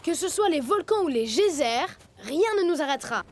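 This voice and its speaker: A woman's voice: high-pitched, lively intonation, moderate pace, a little hiss or hum. A woman voicing a playful, loyal young male tiger cub in a children's cartoon dub, with a teasing way of talking. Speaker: dubbing voice actor. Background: music.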